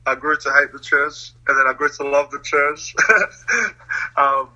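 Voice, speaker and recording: A man's voice: medium pitch, completely flat delivery, a little slow, sharp tone, poor audio. A young man from New Zealand, talking casually as an interview guest, laughing often between hesitant fillers.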